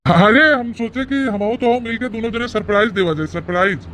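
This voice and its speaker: A man's voice: sits high, a little fast, background noise, natural voice. A man voicing a smug, callous old husband in a comic cartoon, blunt and boastful, with an Awadhi accent. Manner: blunt, boastful, emphatic repetition. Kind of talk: comic cartoon family drama.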